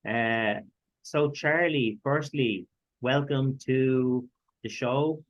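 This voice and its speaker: Halting male host on a dull line. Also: mid-range voice. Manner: halting, many fillers and self-corrections. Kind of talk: interview introduction.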